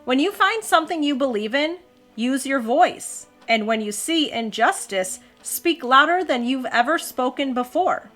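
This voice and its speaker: A woman's voice: sits high, lively intonation, unhurried, fairly bright tone, soft background music. A woman hosting a children's storytime, reading aloud expressively and chatting with questions for young listeners. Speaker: host, mother reading to her child. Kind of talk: read-aloud children's storytime with casual chat.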